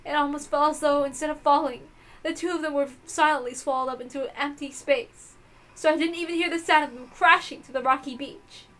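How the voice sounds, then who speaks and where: Woman acting high-voiced, guilt-ridden anguished mother. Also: steady tone, brisk. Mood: somber, remorseful. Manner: theatrical, emotional, swinging from hushed to impassioned. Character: guilt-ridden mother confessing murder, proud, anguished, desperate. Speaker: visual novel reader. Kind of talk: dramatic visual novel read-aloud.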